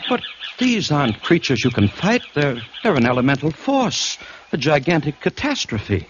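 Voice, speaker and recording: An actor playing an earnest, formal district commissioner in an old radio drama, speaking emphatically. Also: male voice, a little high, big pitch swings, normal speed, slight background noise. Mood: urgent warning. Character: earnest male colonial district commissioner, urgent and exasperated. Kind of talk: 1940s-50s radio drama acting and narration. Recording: dull vintage radio recording. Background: dramatic music.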